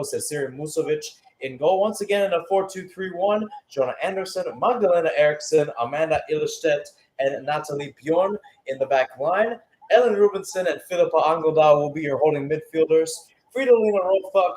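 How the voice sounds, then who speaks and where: A man's voice: a little high, a little mellow, rough recording. A man giving live radio-style play-by-play commentary on a football match, announcer-like and speaking in short phrases.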